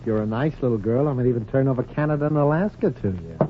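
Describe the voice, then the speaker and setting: A man's voice: medium pitch, expressive, fast, close to an everyday voice. Casting: man for self-satisfied crooner, condescending and offhand, 1940s radio comedy, dull and very noisy recording. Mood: smug, offhand. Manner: offhand, patronizing, slangy. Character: smug, condescending young crooner heartthrob.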